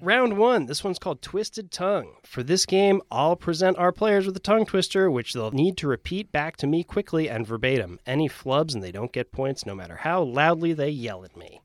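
A man's voice: on the high side, lively intonation, moderate pace, hardly any pauses, dry recording, studio quality. A man hosting a casual comedy game show podcast with a playful, bantering manner.

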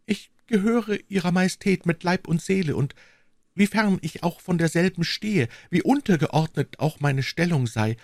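A brave young woman servant in a storybook, acted out by a man. The voice is lifted in pitch, eager and pleading, and it reassures with earnest devotion. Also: moderate pace, a little mellow, no echo, studio quality. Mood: soothing, earnest.

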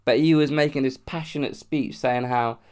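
A man's voice: mid-range voice, steady tone, normal speed, darkish tone, clear, resonant tone. A young man from London with a cold and a sore throat, hosting a solo podcast in a rambling, casual way, restarting and swearing as he talks. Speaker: podcast host.

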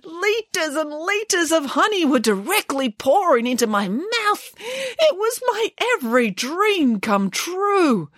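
A woman's voice: extremely high pitch, sing-song, fairly bright tone, no room sound, voice pushed up, exaggerated voice. A woman voicing a huge, sensitive male bear who weeps and wails, in a storybook reading. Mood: earnest. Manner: tearful, drawn-out wailing. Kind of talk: storybook character voice.

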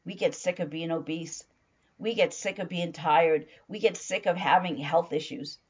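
Hoarse woman, middle-aged, motivational diet coach, emphatic with repetition, slightly muffled sound. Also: on the low side, moderate pace, a little mellow. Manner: emphatic, repeats phrases for stress. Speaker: weight-loss coach. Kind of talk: motivational talk.

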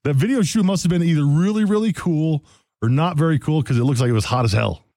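A man's voice: a little high, fast talker, mellow, no room sound, studio quality. A man hosting a radio interview in casual, slangy, informal chat.

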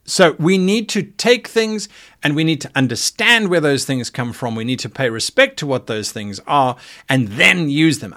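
A man's voice: mid-range voice, a bit bright. A man hosting a casual livestream, talking thoughtfully and earnestly about running tabletop games, with fillers and restarts as he reads chat. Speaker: podcast host and game master.

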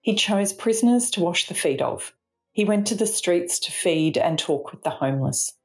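An Australian woman reading a scripted spiritual reflection in a measured, reflective way. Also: sits low, darkish tone. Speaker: reflection speaker, charity mission partner.

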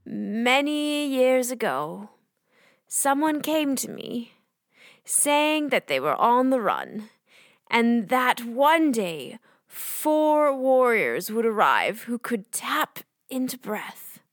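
Quirky elderly keeper of secrets, kids' audio drama; kindly, unhurried, wry, close dry sound. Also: female voice, on the high side, very animated, no room sound, exaggerated voice. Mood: grave, mysterious. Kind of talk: children's audio drama acting.

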